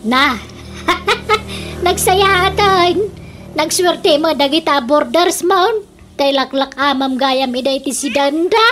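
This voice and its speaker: A woman's voice: sits high, takes it slowly, fairly bright tone. A woman playing a nosy, teasing female neighbour in a comedy radio drama, with a prying manner. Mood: teasing, doubtful. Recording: slight noise and clicks.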